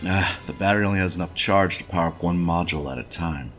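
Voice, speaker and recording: An actor playing a weary, wry noir handyman on a space station, narrating a point-and-click game in a laconic, folksy way with a gravelly voice. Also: sits low, fast, fairly bright tone, faint music behind. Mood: wry, matter-of-fact.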